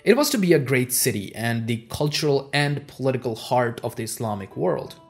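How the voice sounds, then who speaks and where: Measured male history narrator, Urdu native. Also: medium pitch, lively intonation, faint music behind. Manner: measured, explanatory, with stressed openings on transition words. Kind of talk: scripted educational narration.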